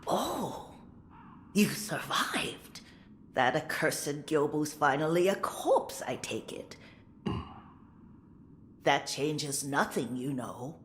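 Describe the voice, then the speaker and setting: An actress playing a world-weary, scornful old hag in a video game cutscene, drawling and resigned. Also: female voice, low-pitched, big pitch swings, slow pace, pauses often, slight background noise, exaggerated voice. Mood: bitter, resigned.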